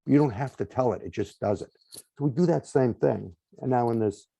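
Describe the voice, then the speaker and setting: A man's voice: medium pitch, normal speed, creaky, dark tone, breathy edge, no echo. A man with a Boston accent, a guest in an interview explaining home technology in long lists, often ending points with "right?". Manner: explanatory, lists items, frequent "right?" tags. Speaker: smart home company guest. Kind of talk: conversational interview.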